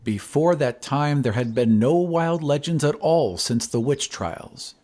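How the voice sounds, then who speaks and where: A man reading a horror story as scripted dramatic narration, in a measured, literary storytelling manner. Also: fairly high pitch, lively intonation, a little mellow. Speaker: narrator of an audio drama podcast. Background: ambient sound effects.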